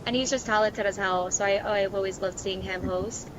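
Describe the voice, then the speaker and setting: A woman's voice: mid-range voice, fairly even intonation, normal speed, lo-fi sound, noisy background. A woman with an American accent talking casually as a podcast co-host. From Miami, Florida. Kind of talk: casual chat.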